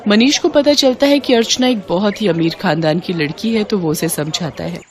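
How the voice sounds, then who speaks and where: A woman's voice: mid-range voice, moderate pace, a faint crowd. A woman narrating a scripted moral story as the storyteller.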